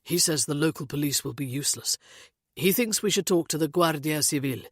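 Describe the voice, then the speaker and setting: A woman's voice: low voice, brisk, dry recording, clean studio sound. A woman voicing a practical man who relays advice in a level, matter-of-fact way.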